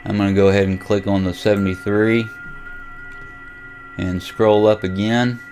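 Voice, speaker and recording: An American man giving a casual, unscripted software walkthrough as a hobby vlogger, halting as he thinks aloud. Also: on the low side, flat delivery, faint music behind. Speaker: amateur radio vlogger demonstrating software. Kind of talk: casual unscripted screen tutorial.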